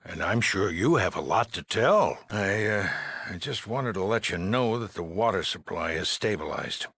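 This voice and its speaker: An actor playing a weary, anxious middle-aged male leader of an underground shelter in a video game, with a raspy, gravelly voice and halting, earnest delivery. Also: deep voice, expressive, a bit bright, no room sound, full character voice. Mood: relieved, solemn. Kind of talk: dramatic game dialogue acting.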